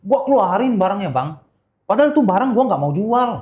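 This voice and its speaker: Animated young Indonesian man, higher-pitched voice. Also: fairly quick. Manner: eager, repeats phrases for emphasis. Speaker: podcast guest, gemstone seller. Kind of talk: casual podcast chat. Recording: roomy echo.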